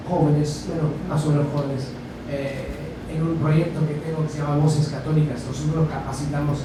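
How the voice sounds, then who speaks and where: British male guest lecturer, Spanish with Argentine-tinged accent, halting delivery, distant mic in echoey hall. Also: on the high side. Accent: British speaking Spanish with Argentine touches. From Britain. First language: English. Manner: halting, with frequent fillers and restarts. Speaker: guest speaker, journalist and author. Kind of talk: lecture to a university audience. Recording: echoey lecture hall from far off, background noise.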